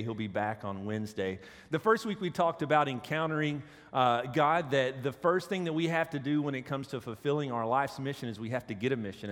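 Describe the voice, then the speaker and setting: An associate pastor with a cold, his voice deeper than usual, preaching a sermon with jokes and asides to the congregation. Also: a bit bright. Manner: joking, conversational preaching with audience asides. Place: church sanctuary. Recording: long hall reverb.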